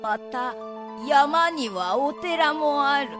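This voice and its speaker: Woman acting resolute village elder, very slow and high. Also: animated, heavily acted. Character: resolute village elder hero of a tsunami tale. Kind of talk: radio drama acting. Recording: loud music under the voice.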